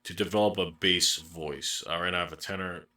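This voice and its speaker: Guy reacting to a musical, bright voice, really up and down, sits back from the mic. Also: deep voice, normal speed, recorded from a distance. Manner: animated, laughs often, explains singing technique. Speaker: reaction video co-host. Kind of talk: casual reaction chat. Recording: roomy sound, away from the mic.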